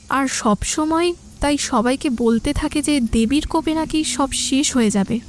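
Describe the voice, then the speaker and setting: High-voiced, gentle young woman played by an actress. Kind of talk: audio drama dialogue.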